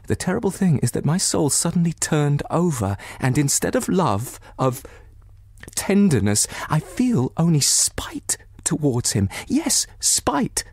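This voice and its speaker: A man voicing a distraught, bitter betrayed wife in a novel, near tears, with anguished, halting and emphatic delivery. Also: on the high side, sing-song, a little mellow, husky, no echo, studio quality, pitched above the performer's own voice, full character voice. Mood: spiteful despair.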